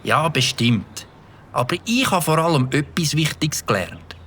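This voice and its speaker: An actor playing an earnest, good-hearted young schoolboy in a children's audio drama. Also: male voice, on the high side, lively intonation, a little slow, fairly bright tone, a little hiss or hum. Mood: earnest, grateful.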